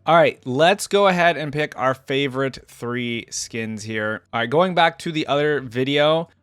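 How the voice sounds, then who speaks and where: A man's voice: medium pitch, measured pace, fairly bright tone, clear, resonant tone, no room sound. A man hosting a casual reaction video, thinking aloud in a rambling way. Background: game music.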